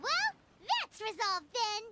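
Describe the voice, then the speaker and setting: Very high, squeaky, excitable little fairy sidekick, game dialogue under loud music. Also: female voice, a little slow. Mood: pleased. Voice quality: squeaky, childlike. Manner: bubbly, speaks of herself in third person. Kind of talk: video game voice acting.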